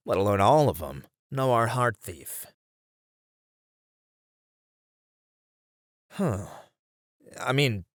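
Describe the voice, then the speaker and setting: A man's voice: lowish voice, no room sound, clean studio sound. A man acting as a weary detective in an ASMR roleplay, musing conversationally over a murder case in a casual way. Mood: baffled. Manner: casual, musing, sighing delivery. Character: weary male detective puzzling over a serial killer case.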